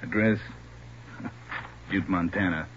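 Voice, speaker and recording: Brusque, impatient male police boss, low register, 1940s radio drama with faint noise. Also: fairly even intonation, takes it slowly, a bit bright. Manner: brusque, bossy. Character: gruff, impatient male chief of detectives. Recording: dull, noisy old radio recording.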